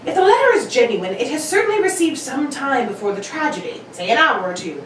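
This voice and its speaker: A brisk and confident gentleman detective, acted by a woman, pitched high and very animated. The reasoning comes out precise and probing, with theatrical emphasis. It sounds like a radio mystery recorded in a roomy space. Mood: emphatic reasoning. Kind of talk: old-time radio mystery acting. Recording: roomy, distant, noisy home recording.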